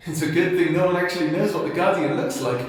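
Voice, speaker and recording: A man's voice: high-pitched, fast, faint music behind, natural voice. A man playing a shy, lovestruck young wizard in a fantasy audio drama, flustered and questioning himself, with heavy reverb on the voice. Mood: smitten. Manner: exclamatory, self-questioning. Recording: heavy echo, long reverb.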